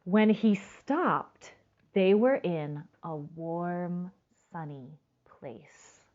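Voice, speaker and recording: A woman's voice: on the low side, very slow, narrow, tinny audio. A woman reading a children's storybook aloud expressively, stretching words for emphasis and speaking directly to young listeners. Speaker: storytime reader.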